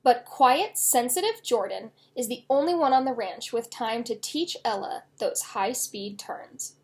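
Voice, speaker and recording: A woman author reading her own children's novel aloud in an expressive storytelling style. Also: fairly high pitch, measured pace.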